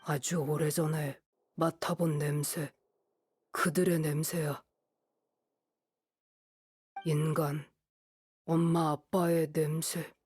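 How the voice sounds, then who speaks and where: A woman voicing a quiet, earnest feral wolf boy in an anime game, speaking in short, blunt, halting sentences. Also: sits low, little pitch movement, husky, exaggerated voice.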